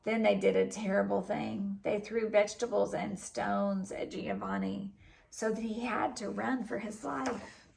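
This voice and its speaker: Female storybook narrator, very gravelly fry, unhurried and expressive delivery. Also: mid-range voice. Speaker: storybook reader. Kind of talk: scripted narration, children's story read aloud.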